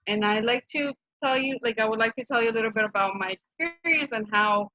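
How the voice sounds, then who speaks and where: Bright-voiced woman with a Honduran accent. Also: on the high side, a little fast. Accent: Honduran Spanish. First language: Spanish. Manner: earnest, many fillers and restarts. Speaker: program director of a nonprofit. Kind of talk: casual presentation on a video call. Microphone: video call microphone. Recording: dull, dry video-call audio.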